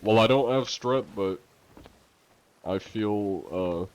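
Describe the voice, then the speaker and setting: A man chatting casually to viewers on a live game stream, congested and coughing now and then, chatty and quick to laugh. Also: on the low side, darkish tone, muffled recording.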